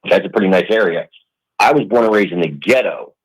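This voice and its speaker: A man's voice: low voice, lively intonation, a little fast, breathy edge, poor audio. A man in his forties, a blunt, streetwise guest arguing in a heated political debate. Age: 40s.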